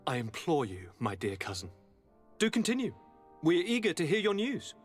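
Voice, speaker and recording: An actor playing a gracious, polished aristocratic governor in a video game, with ornate, polite manners. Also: male voice, fairly high pitch, huge pitch swings, normal speed, breathy edge, heavily acted. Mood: gracious, eager. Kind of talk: video game cutscene acting. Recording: background music with brass.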